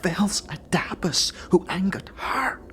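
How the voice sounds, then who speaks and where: Woman acting a low-voiced, frightened fantasy heroine. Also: animated, takes it slowly, whispered. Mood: fearful, hushed. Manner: folksy dialect, tacks on "so it won't". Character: frightened, sharp-tongued young woman companion in fantasy tale. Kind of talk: fantasy audio drama acting.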